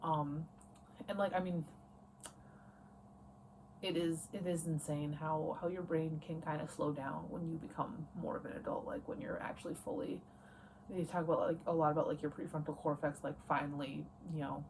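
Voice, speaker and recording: A woman's voice: low voice, steady tone, normal speed, darkish tone, rough recording. A woman in her late twenties chatting casually in a rambling, self-interrupting way, as in a personal vlog. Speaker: book and writing vlogger. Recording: noticeable background noise.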